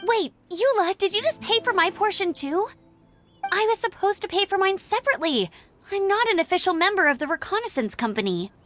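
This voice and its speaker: A woman's voice: very high voice, lively intonation, a little fast, fairly bright tone. A woman playing an upbeat, chatty young female scout in a video game, bubbly and informal. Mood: surprised. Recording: background music.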